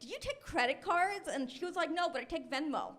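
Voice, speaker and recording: High-voiced young American woman doing stand-up. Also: expressive, a little fast, a crowd in the background. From Los Angeles, United States. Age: 20s. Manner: self-deprecating, chatty storytelling. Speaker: stand-up comedian. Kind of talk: live stand-up comedy routine. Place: comedy club stage with live audience. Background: audience laughter.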